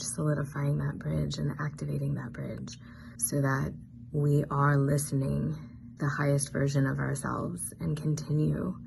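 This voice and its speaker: A woman meditation guide giving gentle, soothing instruction in unhurried phrases. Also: low-pitched, rough recording. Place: studio on a major road. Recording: clear background noise with ambient sound.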